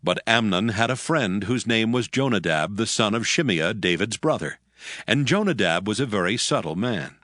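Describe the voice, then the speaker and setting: A man narrating scripture in a steady, solemn reading for a dramatized audio presentation. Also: fairly low pitch, normal speed, no room sound, clean studio sound.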